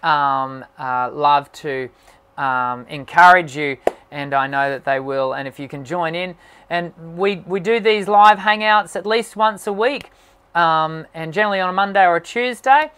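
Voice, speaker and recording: A man who hosts a singing channel, answering viewer questions on a live stream in an encouraging tone and often pausing with "um". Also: fairly high pitch, bright, forceful tone, slight background noise. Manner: encouraging, talks with many "um" fillers. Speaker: singing teacher and channel host.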